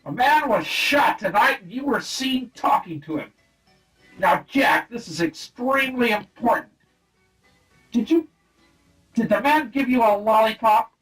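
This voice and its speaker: A man playing an animated, exasperated police sergeant. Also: very high voice, unhurried, long pauses, faint music behind, full character voice, rough recording. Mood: urgent. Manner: earnest, exasperated. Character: exasperated male police sergeant, earnest and urgent. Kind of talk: comic radio play acting.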